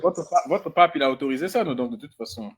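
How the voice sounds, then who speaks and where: A man's voice: on the high side, expressive, quick pace, crowd noise. A man in a live online debate who mocks his opponent and keeps pressing him with questions. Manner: teasing, mocking, insistent questioning.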